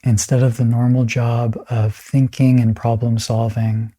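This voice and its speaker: A man leading a guided meditation in a calm, soothing way, with a soft, breathy voice and pauses between phrases. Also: medium pitch.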